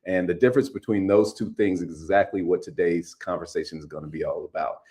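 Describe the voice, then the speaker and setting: A man from California co-hosting an online talk, enthusiastic and energetic, often repeating his words. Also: low voice, quick pace. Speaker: co-host, interviewer. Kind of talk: live online interview.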